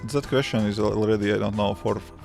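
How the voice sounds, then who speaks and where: A man in his thirties with a Latvian accent, a developer chatting casually on a live stream in simple, halting English with many restarts. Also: fairly low pitch, steady tone, gravelly, darkish tone, soft background music. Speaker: livestreaming developer answering chat.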